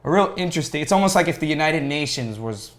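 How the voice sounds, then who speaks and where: A man chatting casually as a podcast guest, telling stories in a rambling, animated way about his hobby. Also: fairly high pitch, fast. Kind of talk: casual chat.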